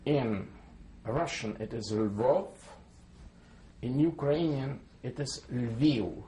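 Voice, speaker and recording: A man's voice: mid-range voice, takes it slowly, warm tone, a little hiss or hum. A man in his seventies, born in Poland, giving a careful oral history testimony and spelling names letter by letter. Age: 70s. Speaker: interviewee.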